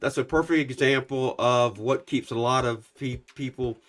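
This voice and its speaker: Steady, affirming man coach. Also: mid-range voice, moderate pace. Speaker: youth coach and co-host. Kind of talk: conversational talk.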